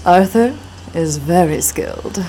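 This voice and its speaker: Female, twenties femme fatale: rich, composed, sultry, dark mellow tone on the lower side, noir radio play. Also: expressive, slow, slight background noise, low-quality recording. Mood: poised, cool. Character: cool, wealthy, petite noir femme fatale wife, mid-twenties. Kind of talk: noir audio drama dialogue. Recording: music under the voice.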